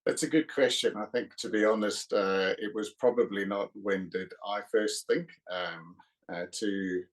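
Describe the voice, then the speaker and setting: A middle-aged man from South Africa with a South African accent, a guest author talking in a podcast interview, pausing often with fillers. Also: lowish voice, fairly even intonation. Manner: hesitant, many uh fillers.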